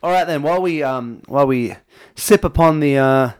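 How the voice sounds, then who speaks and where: A man's voice: on the high side, normal speed, smooth, no echo, studio quality. A man with an Australian accent co-hosting a podcast, chatting in casual banter with an unforced, conversational voice. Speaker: podcast co-host.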